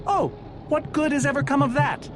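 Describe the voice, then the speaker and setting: An actor playing a prickly, excitable eccentric scholar in a video game, theatrical and fussy in his speech. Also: very high voice, moderate pace. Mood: exasperated. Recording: loud music behind the voice.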